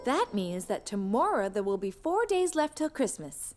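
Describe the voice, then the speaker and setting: A woman's voice: medium pitch, big pitch swings, moderate pace, close to an everyday voice. Woman as loving cartoon mom, tender n patient, melodic voice, music behind. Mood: gentle, patient. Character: gentle, loving mother of a small boy. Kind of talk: children's cartoon voice acting.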